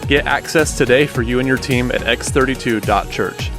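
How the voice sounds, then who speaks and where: A man's voice: medium pitch, normal speed. Male ad voice-over over music. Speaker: ad announcer. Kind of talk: scripted ad read. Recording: voice-over with clear music bed.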